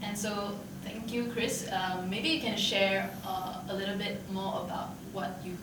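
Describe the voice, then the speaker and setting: A woman's voice: medium pitch, fairly even intonation, unhurried, noisy background. A young woman hosting a podcast, giving a prepared introduction. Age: young adult. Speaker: podcast host. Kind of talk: scripted introduction. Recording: very echoey, distant mic, music.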